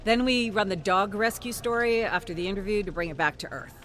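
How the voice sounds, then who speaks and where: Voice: medium pitch, a little fast, a bit bright, a little hiss or hum, pitched above the performer's own voice, lightly acted. A reader doing a curt executive producer. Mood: dismissive. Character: dismissive male executive producer.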